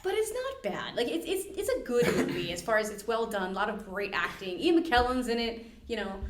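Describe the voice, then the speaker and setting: An American woman hosting a podcast, chatting casually and retelling stories in an animated, slangy, jokey way. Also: a little high, fairly quick, over a noisy crowd. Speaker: podcast host. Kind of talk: casual podcast chat and storytelling. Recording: roomy, echoey indoor sound.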